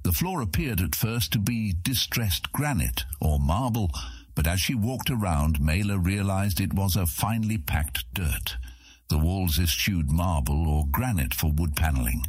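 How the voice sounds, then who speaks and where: Very deep, husky male audiobook narrator. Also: animated, measured pace, dry recording. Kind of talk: scripted fantasy audiobook narration.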